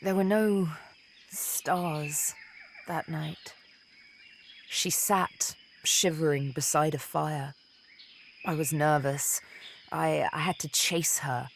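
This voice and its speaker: A woman playing a seductive, vengeful elven assassin and former slave in a video game, speaking in a deliberate, theatrical, teasing manner. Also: low voice, steady tone, gravelly, slight background noise, heavily acted. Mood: grim, haunted. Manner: deliberate, teasing, dramatic storytelling with pauses. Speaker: video game companion character.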